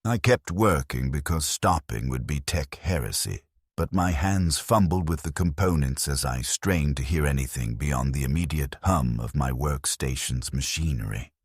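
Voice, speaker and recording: A man's voice: rumbling low, animated, normal speed, husky, no room sound, studio quality. A man reading a cinematic first-person story in a grave, solemn manner as a narrator.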